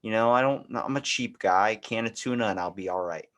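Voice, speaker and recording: A man's voice: on the low side, brisk, a bit bright. A man hosting a podcast in casual, comedic chat, rambling and slangy as he jokes. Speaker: podcast host.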